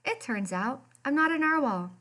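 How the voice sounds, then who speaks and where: A woman's voice: sits high, animated, moderate pace, pushed and bright. A woman voicing a naive, earnest young unicorn in a children's storybook, with a childlike manner. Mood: earnest confession.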